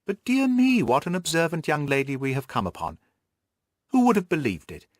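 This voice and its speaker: A man voicing a jovial, patronising middle-aged country gentleman in an audiobook story, with a jesting, exclamatory manner. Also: on the high side, huge pitch swings, normal speed, no echo, studio quality, voice pushed up.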